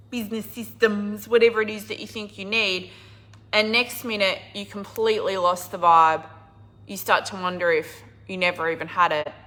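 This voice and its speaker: A middle-aged woman business coach with a hoarse, husky, croaky voice, talking casually and emphatically to a live audience. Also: mid-range voice. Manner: enthusiastic, emphatic, swings from loud to quiet. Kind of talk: casual livestream talk.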